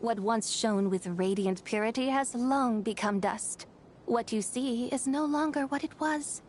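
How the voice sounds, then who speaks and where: A woman's voice: fairly high pitch, unhurried, darkish tone, a little hiss or hum, close to an everyday voice. A woman playing a haughty, sly, ancient female horse spirit in a fantasy game, with theatrical, archaic, teasing formal speech. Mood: cold, proud. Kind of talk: fantasy game cutscene acting. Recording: music behind the voice.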